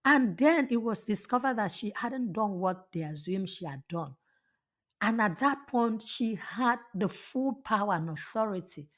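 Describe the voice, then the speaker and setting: A woman speaks in a plain, mid-pitched voice, earnest and reflective as she tells a personal story. She often stumbles and repeats words before finding her point, like a motivational host thinking aloud. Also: lively intonation, unhurried. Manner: earnest, with frequent restarts and repetitions.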